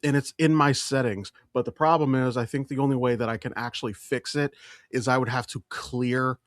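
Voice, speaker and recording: A man's voice: medium pitch, fairly even intonation, brisk, dry recording, studio quality. A man co-hosting a podcast in casual pop-culture chat, emphatic and opinionated, swearing freely. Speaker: podcast co-host.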